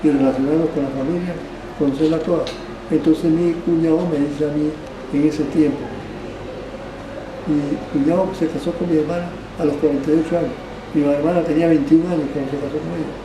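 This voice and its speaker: An older man tells his story in halting bits, restarting phrases and tacking on little tag questions. His voice sits fairly high. He is picked up from a distance in a roomy space with steady ambient noise behind him. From Panama. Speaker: barber being interviewed. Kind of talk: rambling oral history. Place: barbershop.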